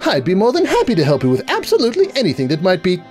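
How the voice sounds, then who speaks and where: A man's voice: high voice, wildly swooping pitch, very fast, a little mellow, faint music behind, pitched above the performer's own voice. A man voicing a ladylike female fashionista pony in a comic storybook reading, gushing, genteel and affectedly polite. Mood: gracious.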